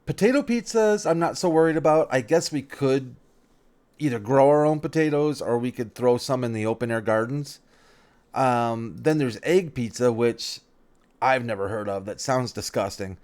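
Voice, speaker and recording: A man's voice: mid-range voice, lively intonation, normal speed, a little mellow. A man giving casual let's-play commentary on a farming game, thinking aloud, rambling and often correcting himself mid-sentence.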